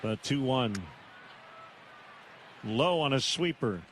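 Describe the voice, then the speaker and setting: A man doing live baseball play-by-play on the radio in measured, terse calls, with pauses between them. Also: medium pitch, animated, a bit bright, slight background noise. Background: outdoor ballpark ambience.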